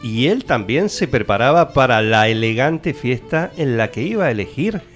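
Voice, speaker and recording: Man as wry argentine fairytale narrator, grandiose, very animated, light music under. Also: mid-range voice, moderate pace, lightly acted. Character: tongue-in-cheek fairytale narrator. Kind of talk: comic radio theatre narration.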